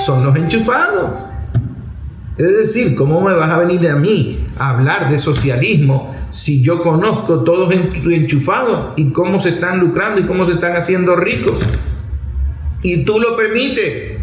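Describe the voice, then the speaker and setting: Venezuelan man, somewhat high voice, colloquial emphatic commentator, blunt delivery, echoey room with faint noise. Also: lively intonation, measured pace. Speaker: political commentator. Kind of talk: unscripted political commentary monologue.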